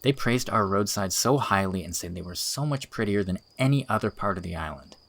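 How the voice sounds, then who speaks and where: Low-voiced, earnest young man, acted by a man. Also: animated, a little fast, creaky, a bit bright, heavily acted. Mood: keen, optimistic. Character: earnest, teasing young schoolteacher in rural village. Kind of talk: audiobook character dialogue.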